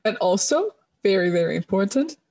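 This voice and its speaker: A woman's voice: mid-range voice, big pitch swings, fairly quick, darkish tone. Earnest woman co-hosting a book chat. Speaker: book discussion co-host. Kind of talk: casual book discussion.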